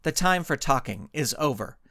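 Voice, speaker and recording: A man's voice: a little high, animated, moderate pace, sharp tone, no echo, studio quality. A man voicing a fierce, hot-tempered female superhero who snaps severely. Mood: snapping. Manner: snapping, severe.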